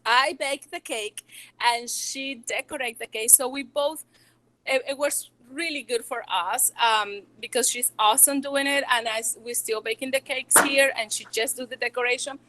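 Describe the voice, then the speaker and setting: A woman from Venezuela with a Venezuelan Spanish accent, a small business owner giving a nervous, halting talk with many restarts. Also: high-pitched, bright, forceful tone, a little hiss or hum, rough recording. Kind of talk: presentation to educators.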